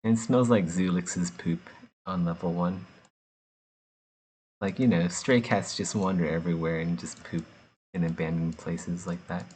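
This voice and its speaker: A man's voice: low voice, fairly even intonation, a little slow, a little mellow, husky, low-quality recording. A man from the United States chatting casually and joking as he works, laughing often between rambling asides. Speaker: artist streaming while sculpting. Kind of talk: casual chat while working.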